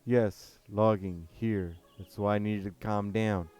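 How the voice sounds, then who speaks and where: A man reading a story aloud, voicing a calm but bitter male forest guardian with a harsh German accent who speaks loudly and earnestly. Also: on the low side, slow, dry recording. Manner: earnest, loud, preaching.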